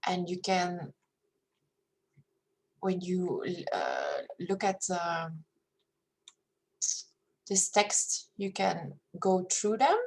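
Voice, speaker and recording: A woman from Belgium with a Flemish accent, whose first language is Flemish, reading a spiritual paper aloud in a careful, steady way. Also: fairly low pitch, extremely slow, vocal fry, pauses often, poor audio. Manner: careful, steady reading with hesitant fillers when speaking freely. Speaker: reader of a paper. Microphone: screen-share recording.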